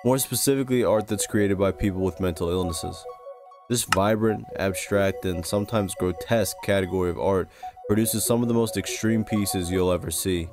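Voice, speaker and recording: Low-voiced man narrating over music. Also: normal speed. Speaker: video essay narrator. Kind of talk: scripted narration. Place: close-recorded voice-over with music behind.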